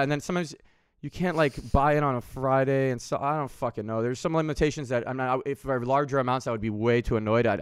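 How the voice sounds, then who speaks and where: A man's voice: medium pitch, clean tone, no echo. Rambling man streamer, dry close sound. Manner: rambling, swears freely, frequent restarts. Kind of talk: casual chat on a livestream. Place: home room with window, indoors.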